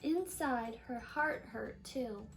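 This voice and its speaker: A woman with a high voice reads a children's picture book aloud. Her delivery is animated, drawing out important words for effect. Faint music plays along with the reading. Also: takes it slowly, gravelly. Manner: animated storytelling, stressing and stretching key words. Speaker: storybook reader.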